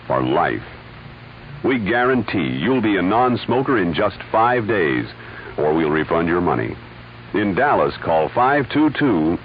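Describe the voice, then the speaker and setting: A man reading a scripted radio commercial as an announcer. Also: lowish voice. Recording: dull radio recording with hiss.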